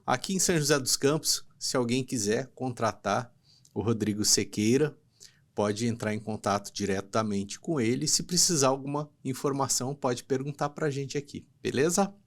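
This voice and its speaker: A podcast host with a Brazilian Portuguese accent speaks in a casual, upbeat way. His voice sits at an ordinary middle pitch for a man, and he drops slangy asides as he wraps up the chat. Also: normal speed. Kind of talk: casual interview talk.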